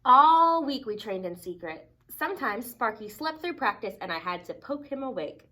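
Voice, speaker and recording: A woman reading a children's picture book aloud expressively, with dramatic emphasis and deliberate pauses. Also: fairly high pitch, sing-song, sharp tone. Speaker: storybook reader.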